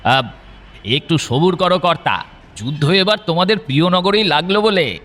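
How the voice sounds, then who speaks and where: A man's voice: on the high side, expressive, normal speed, fairly bright tone. A man playing a genial, gossipy tea-stall keeper in an audio drama, with a chatty, teasing way of talking. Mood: sly. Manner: chatty, teasing, chuckling drawl. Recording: noisy street ambience with vehicles.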